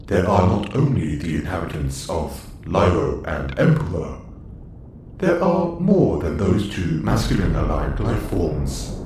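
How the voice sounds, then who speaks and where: A deep-voiced android commander, acted by a man, formal and clinical in an audio roleplay. The delivery is slow yet swings widely in pitch, with a roomy echo around it. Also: big pitch swings, exaggerated voice. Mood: measured, formal. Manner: precise, formal, clinical phrasing. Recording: roomy echo, faint background noise.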